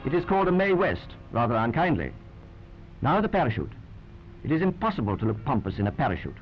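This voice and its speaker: A man's voice: mid-range voice, wildly swooping pitch, quick pace, highs cut off, soft background music. A British man narrating a 1940s wartime newsreel in a wry, clipped, understated manner, on an old film soundtrack.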